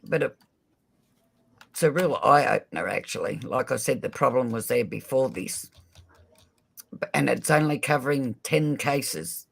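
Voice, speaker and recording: A man's voice: mid-range voice, lively intonation, a little slow, vocal fry, pauses often, fairly bright tone, breathy edge. A man hosting a casual livestream, speaking to viewers directly in a friendly way. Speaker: livestream host. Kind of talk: casual live chat.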